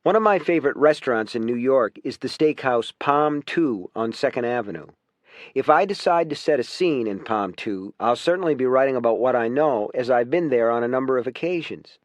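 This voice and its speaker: Male audiobook narrator for nonfiction: measured, clearly phrased delivery, average pitch, very dry studio-like sound. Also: animated, no echo. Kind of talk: scripted audiobook narration of a writing guide.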